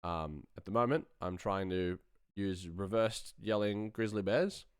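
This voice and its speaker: A man's voice: lowish voice, a little slow, clear, resonant tone, no echo, studio quality. A man hosting a live stream, chatting informally and thinking aloud as he works on sound design. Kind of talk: casual tutorial chat while working.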